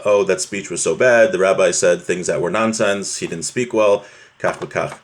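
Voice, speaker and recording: A man teaching an informal religious class, reading from a text and explaining it conversationally, often checking in with his listeners. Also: on the low side.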